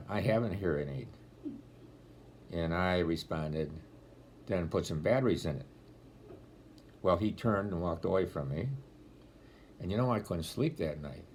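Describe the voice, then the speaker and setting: A man in his eighties with a deep voice, speaking as a deacon in a homily-style talk, telling stories with gentle dry humor, filmed on a laptop webcam at home. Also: slight background noise. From Rochester, New York area. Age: 80s. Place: home room, indoors. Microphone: laptop webcam at close range.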